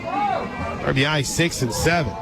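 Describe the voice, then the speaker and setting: Male sports radio play-by-play voice, fairly high, clipped calls and casual asides, over loud crowd noise. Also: wildly swooping pitch, slow pace. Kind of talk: live softball radio commentary. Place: radio booth at an outdoor softball stadium. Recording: loud crowd and music behind. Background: loud ballpark crowd and music.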